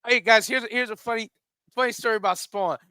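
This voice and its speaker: High bright-voiced radio guy in his 40s, dry studio sound, acts out the quotes in his stories. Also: fast, clean tone, no echo. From South Carolina, US. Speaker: radio show host. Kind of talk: casual comedy radio banter.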